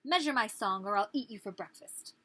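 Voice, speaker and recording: A woman's voice: medium pitch, expressive, brisk, bright, forceful tone. A woman voicing a bossy, threatening picture-book nightingale with a demanding, emphatic manner.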